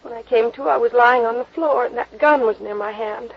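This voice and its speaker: A dull vintage radio recording of a dazed young woman under suspicion. Her American voice is high and breathy. She speaks in a faltering, anxious, pleading way, as in 1950s radio drama. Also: brisk. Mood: dazed, confused. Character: dazed, anxious young female murder suspect.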